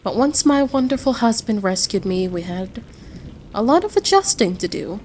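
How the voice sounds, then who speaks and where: A woman playing a caring, motherly American wife in a roleplay audio drama, gentle and reassuring, full of endearments. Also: on the high side, very animated, normal speed, a little hiss or hum. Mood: reflective.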